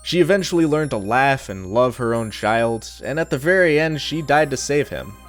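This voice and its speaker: A man's voice: medium pitch, animated, normal speed, soft background music. A young adult man reading a scripted review in a casual, opinionated, sardonic way. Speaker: video reviewer.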